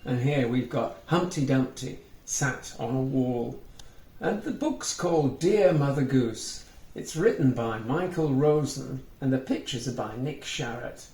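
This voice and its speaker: A man reading a storybook aloud for young children, simply and with gentle guidance to the listener. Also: medium pitch, a little slow, roomy sound.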